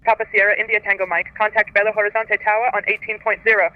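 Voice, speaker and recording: High-voiced approach controller on radio. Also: male voice, flat delivery, no room sound. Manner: brisk, clipped instructions. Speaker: air traffic controller. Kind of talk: radio phraseology. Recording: muffled radio transmission.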